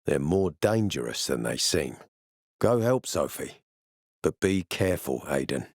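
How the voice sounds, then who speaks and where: Game role: gruff military commander, suspicious, curt and threatening, profane streak, recorded dry. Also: male voice, extremely low pitch, very animated, a little slow, dry recording, full character voice. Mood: guarded warning. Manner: terse, menacing, sardonic, profane. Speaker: video game voice actor. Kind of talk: video game character dialogue.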